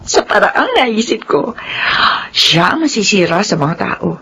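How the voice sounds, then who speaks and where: An actress playing a sly, seductive, scheming Filipino mistress in a radio drama, coquettish and insinuating. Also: female voice, medium pitch, very animated, unhurried, gravelly, sharp tone, a little hiss or hum, exaggerated voice.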